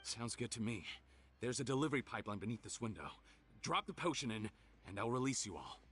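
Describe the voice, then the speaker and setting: A man's voice: medium pitch, lively intonation, brisk, fairly bright tone, lightly acted. A man voicing a scheming, mocking criminal villain in a video game, theatrical and gloating in his delivery. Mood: scheming, intrigued. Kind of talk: scripted video game dialogue.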